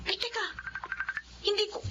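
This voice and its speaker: An actress playing an emotional, excitable young daughter in a Filipino radio drama. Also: female voice, moderate pace, close to an everyday voice. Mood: anxious. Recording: dull sound with faint noise.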